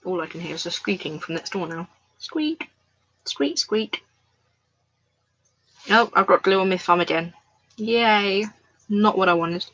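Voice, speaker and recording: Woman streaming from home, bright voice, loose rambling chat with viewers. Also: mid-range voice, expressive, unhurried, pauses often, lo-fi sound. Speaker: crafts livestreamer. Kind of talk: casual live-stream chat. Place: at home, indoors.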